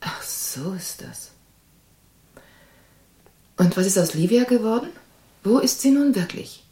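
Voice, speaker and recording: Eine Schauspielerin als besorgte Anstaltsbesucherin in einem Hörspiel, die ausdrucksvoll und fragend spricht. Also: female voice, medium pitch, takes it slowly. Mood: curious, concerned. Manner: questioning, expressive. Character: concerned woman visiting an asylum for Daniel. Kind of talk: German radio drama acting.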